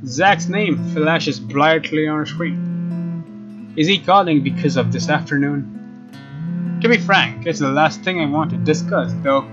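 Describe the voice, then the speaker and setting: A man with a fairly high voice reads a visual novel aloud as a let's player. The sound is slightly dull, and guitar game music plays clearly behind him. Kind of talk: reading visual novel narration aloud, expressive.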